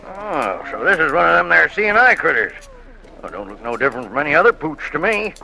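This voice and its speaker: Hearty country sheriff, rural American accent, creaky, animated pitch, folksy, old-time radio. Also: male voice, fairly quick. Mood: folksy, uneasy. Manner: folksy, drawling dialect grammar. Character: big, professionally cheerful, folksy country sheriff, worried underneath. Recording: very noisy old radio recording.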